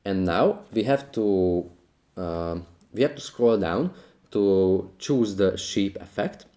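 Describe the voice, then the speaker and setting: A man's voice: deep voice, expressive, takes it slowly, mellow. A man narrating a step-by-step how-to tutorial as its host.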